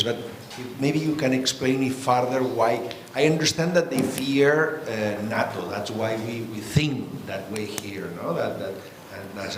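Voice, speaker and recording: A man's voice: medium pitch, reverberant, a little hiss or hum, rough recording. A man who teaches at a university politely asks a panel a question, repeating words as he thinks aloud. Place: conference hall.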